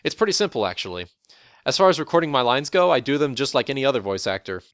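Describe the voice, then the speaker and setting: A young man with a deep voice, a voice actor giving a prepared, relaxed, matter-of-fact account of his role. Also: fast, no room sound, clean studio sound. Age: young adult. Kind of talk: prepared interview monologue. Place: college dorm room.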